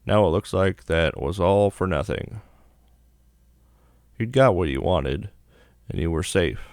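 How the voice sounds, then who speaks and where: A man's voice: sits low, lively intonation, measured pace, no echo, studio quality. A man reading a visual novel aloud as dramatic narration for a gaming channel.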